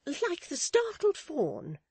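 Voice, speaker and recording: A high-pitched, swooping society matron from a Victorian novel. She is pompous and fretful, declaiming each point emphatically and lamenting with great self-pity. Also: huge pitch swings, slow pace, darkish tone, no room sound, exaggerated voice. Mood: confiding disdain. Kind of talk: dramatized Victorian novel reading.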